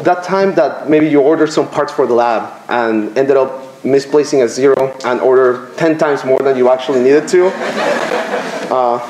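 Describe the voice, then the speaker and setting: A young man from Venezuela gives a graduation speech at a podium, halting and self-deprecating, with filler pauses. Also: medium pitch, darkish tone, slight background noise. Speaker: commencement student speaker. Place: lecture room with podium. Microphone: podium microphone. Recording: roomy lecture hall echo.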